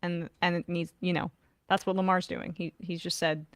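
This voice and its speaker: A woman hosting a casual sports podcast, her voice a little raspy from not talking all weekend, opinionated and conversational. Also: lowish voice, level tone, normal speed, dry recording, clean studio sound. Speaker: podcast host.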